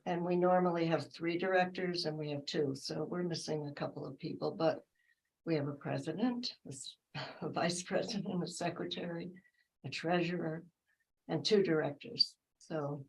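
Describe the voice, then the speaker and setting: A woman with a low, somewhat deep voice gives a casual report at a board meeting. She hesitates, fills pauses and corrects herself as she goes. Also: gravelly, rough recording. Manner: hesitant, fillers and self-corrections. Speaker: library friends group treasurer.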